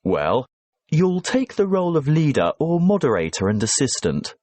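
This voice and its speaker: A man playing a brisk professor. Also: on the high side, sing-song, a little mellow, no echo. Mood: explaining. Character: male professor guiding students, calm and brisk. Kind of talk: scripted exam dialogue.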